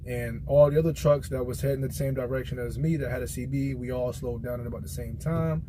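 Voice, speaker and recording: A man's voice: mid-range voice, steady tone, a little fast, background noise. An American man who drives trucks, talking casually and informally in a rambling vlog, telling stories from experience. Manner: conversational storytelling, informal. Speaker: truck driver vlogger giving a product opinion.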